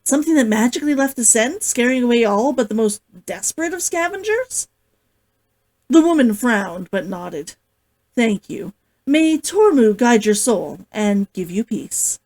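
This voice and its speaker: A woman with an expressive way of talking, hosting a casual read-along as an author. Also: on the high side, unhurried. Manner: giggly, gushing, expressive. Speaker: host, author reading along. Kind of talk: casual livestream chat and novel read-aloud.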